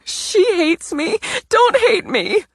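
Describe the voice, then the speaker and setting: A woman voicing a tearful, frantic young woman who pleads in a high, sobbing voice. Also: big pitch swings, takes it slowly, no room sound, full character voice.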